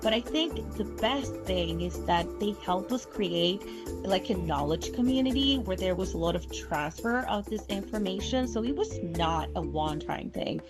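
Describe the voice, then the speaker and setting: A woman working in government energy planning, speaking slowly and earnestly in an interview testimonial. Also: medium pitch, lively intonation, over music.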